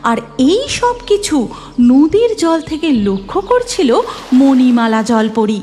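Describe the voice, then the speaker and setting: High, animated woman storyteller. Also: unhurried, faint music behind. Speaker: narrator. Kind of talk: scripted children's story narration.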